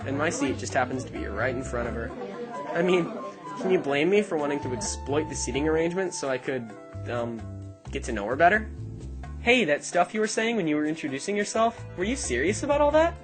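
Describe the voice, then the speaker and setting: A young man playing a sardonic high school student who narrates an amateur anime dub in a wry, deadpan way. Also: fairly quick, close to an everyday voice. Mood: awkward, hesitant. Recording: music behind the voice.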